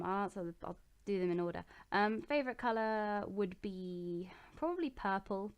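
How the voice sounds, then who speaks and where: Smooth-voiced young woman, chatty and relaxed. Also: mid-range voice. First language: English. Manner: chatty and relaxed, with many ums and self-corrections. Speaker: YouTuber answering viewer questions. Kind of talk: casual chat. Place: her bedroom.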